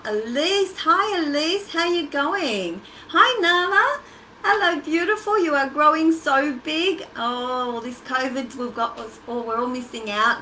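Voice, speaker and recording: A woman's voice: fairly high pitch, sharp tone. An Australian-accented woman hosting a casual children's craft livestream, chatty and encouraging as she talks directly to the kids. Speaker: children's storytime and craft host. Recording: echoey, noisy livestream from a distance.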